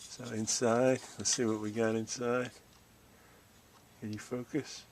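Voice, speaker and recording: A man's voice: mid-range voice, little pitch movement, a little slow, slight background noise. A middle-aged or older American man giving a casual, folksy hands-on show-and-tell, musing as he goes. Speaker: DIY video host.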